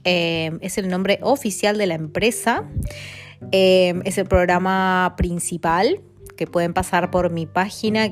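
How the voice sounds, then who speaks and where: A woman from Mendoza, Argentina, in her thirties, hosting a casual podcast monologue with an Argentine accent, chatting with lots of fillers and tag questions. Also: on the low side. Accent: Argentine Spanish. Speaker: podcast host.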